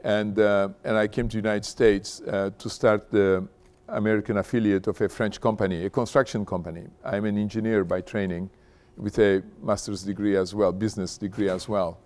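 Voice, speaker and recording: A middle-aged man from Turkey, speaking informally to an audience as a guest speaker in a halting, conversational way, often adding "you know". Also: on the low side, flat delivery, a little mellow. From Istanbul, Turkey. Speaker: company founder, guest speaker. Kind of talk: informal autobiographical talk to an audience.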